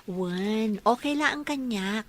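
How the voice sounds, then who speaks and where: A woman's voice: fairly high pitch, lively intonation, slow. A woman playing a caring, understanding young girlfriend in a radio drama, with an Ilocano Filipino accent. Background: music.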